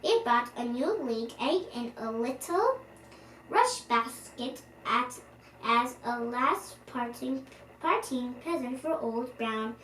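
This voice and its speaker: High-pitched young girl reading a storybook, very animated. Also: slow. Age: child. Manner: animated, expressive, with stumbles over words. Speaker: storyteller, channel host. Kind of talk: children's storybook read-aloud. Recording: roomy, distant microphone, faint background noise.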